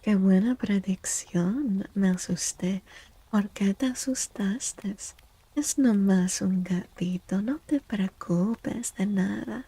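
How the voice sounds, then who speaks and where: Woman, soft-spoken ASMR streamer, slow pace, creaky fry, breathy near-whisper, playful, gentle. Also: medium pitch, dry recording. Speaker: live streamer reading tarot cards for chat. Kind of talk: soft-spoken ASMR chat, greeting viewers.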